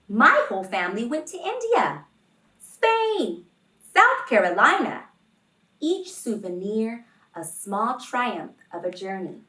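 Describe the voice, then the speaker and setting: A woman hosting a children's read-along, reading expressively and addressing young listeners deliberately as friends. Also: a little high, sing-song, pushed and bright. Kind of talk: expressive picture-book read-aloud and talk to young children. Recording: roomy, distant microphone, music behind.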